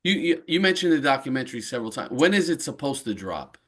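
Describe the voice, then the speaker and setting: A man's voice: medium pitch, sing-song, fast talker, a bit bright. A man hosting a podcast interview in a laid-back, encouraging, colloquial way. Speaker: podcast host. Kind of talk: casual interview talk.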